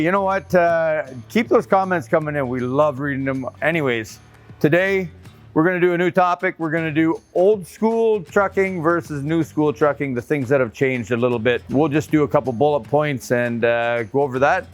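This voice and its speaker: A man's voice: a little high, normal speed, soft background music. Chatty middle-aged trucker man. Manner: chatty, laughs often, rambling asides. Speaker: truck driver vlogger. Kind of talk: casual talk to camera.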